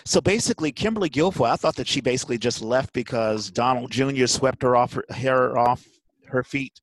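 Male podcast host, middle pitch, very dry sound, loose rambling delivery with stammered asides. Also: brisk, no room sound, low-quality recording. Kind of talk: casual chat.

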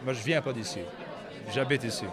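A man's voice: mid-range voice, brisk. A man from Nova Scotia with an Acadian French accent, chatting casually in an interview and dropping English "so" into his sentences. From Nova Scotia, Canada. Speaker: musician interviewee. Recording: busy crowd noise around.

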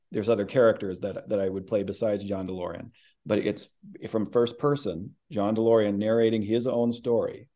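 Low, mellow-voiced Midwestern man. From American Midwest. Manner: thoughtful, rambling with many restarts. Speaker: voice actor. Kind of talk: interview guest talk.

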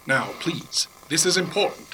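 Male professor, highish forceful voice, earnest, shameless, matter-of-fact, 1930s radio spoof, noisy line. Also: expressive, moderate pace. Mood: earnest, unrepentant. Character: shameless, self-preserving male astronomy professor, earnest survivor. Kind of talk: 1930s radio comedy drama acting.

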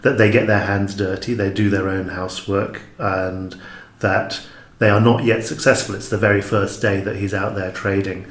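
A man's voice: on the low side, flat delivery, normal speed, few pauses. A man speaking as an interview guest, explaining his creative work in a reflective, emphatic way. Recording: echoey room.

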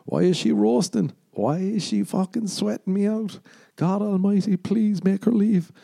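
A young Irish man hosting a podcast, rambling and swearing as he jokes, jumping into high squeaky voices for the punchlines. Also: unhurried, vocal fry, mellow. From Ireland. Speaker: podcast host. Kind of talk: casual comedic monologue.

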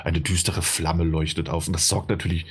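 Low, husky-voiced man, casual chat, rambling with fillers, game talk. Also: little pitch movement, a little fast. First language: German. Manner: rambling, many fillers and restarts. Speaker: game reviewer.

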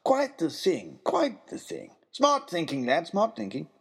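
A man voicing a playful, polite old gentleman, mild and unworried, in an audiobook. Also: a little high, huge pitch swings, unhurried, gravelly, slightly rough, pitched above the performer's own voice, heavily acted. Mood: pleased. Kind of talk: audiobook character voice.

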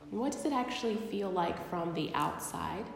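A woman leading a guided body scan meditation, speaking gently and unhurriedly with long pauses. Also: on the low side, expressive, gravelly. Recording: very echoey live recording, long reverb.

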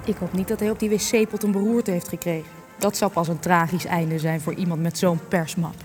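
An actress in a Dutch radio play, playing a sharp, cynical researcher who is sardonic, wordy and needling. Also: female voice, fairly low pitch, fairly even intonation, brisk, natural voice. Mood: dry, wary. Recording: long reverb, music underneath.

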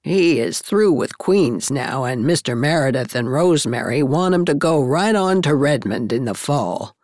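A woman's voice: a bass voice, lively intonation, normal speed, darkish tone, no room sound, studio quality, pitched below the performer's own voice. A woman voicing a blunt, opinionated older matchmaking woman in a storybook, with an emphatic, forthright way of talking.

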